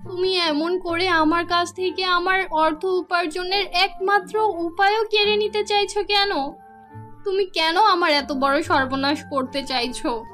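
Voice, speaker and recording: Lady doing a poor honest young sister in a cartoon tale, really high earnest pleading voice, soft music under.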